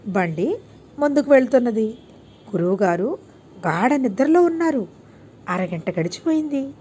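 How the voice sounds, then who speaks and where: A woman's voice: a little high, expressive, moderate pace, warm tone, a little hiss or hum. A woman narrating a scripted moral tale for children as an audiobook storyteller.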